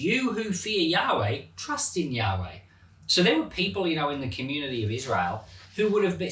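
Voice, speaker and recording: A man, a pastor, reading a psalm and then explaining it in a chatty, animated way. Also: mid-range voice. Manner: animated, chatty, laughs at his own points. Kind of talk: Bible reading and informal teaching. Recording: roomy echo, mic at a distance.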